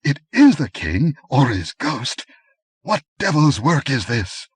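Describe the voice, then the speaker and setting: A man voicing an arrogant young nobleman who gives commands and turns uneasy, speaking haughtily and loudly. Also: medium pitch, expressive, normal speed, no room sound, clean studio sound, voice pushed up, close to an everyday voice. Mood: alarmed. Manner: haughty, commanding, rising to alarm.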